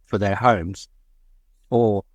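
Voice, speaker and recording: A man's voice: on the low side, steady tone, very slow, no echo. A man co-hosting a podcast, chatting casually and rambling with lots of fillers. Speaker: podcast co-host. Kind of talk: casual chat.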